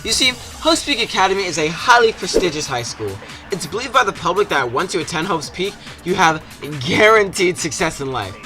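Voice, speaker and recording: A man's voice: sits high, very animated, a little fast, hardly any pauses. A man narrating a comedic scripted video game review with a sarcastic, exaggerated delivery. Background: music behind the voice.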